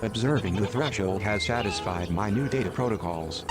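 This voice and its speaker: A man playing a literal, unflappable station computer in a sci-fi audio drama, formal, clipped and deadpan. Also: on the low side, normal speed, over loud music, lightly acted. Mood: deadpan, factual. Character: literal, unflappable male station computer, ancient library archive.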